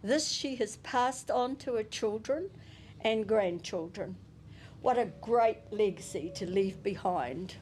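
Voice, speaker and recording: A woman with a New Zealand accent giving a eulogy at a memorial service, reading a prepared tribute and a poem gently into a handheld microphone. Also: medium pitch, a little hiss or hum. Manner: gentle and unhurried, with soft chuckles. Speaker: family member giving a eulogy.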